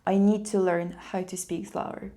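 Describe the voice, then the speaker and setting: A young woman who is a native Polish speaker, chatting casually and quickly in a vlog, with frequent light laughs. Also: medium pitch, level tone, mellow. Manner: fast-talking, laughs often, upbeat. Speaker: language-learning vlogger.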